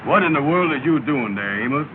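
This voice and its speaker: A man playing a bossy, muddled, slow-witted sidekick in a 1930s comedy film, blustering in a folksy way. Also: on the high side, animated, natural voice. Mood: exasperated. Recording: noisy, tinny 1930s film soundtrack.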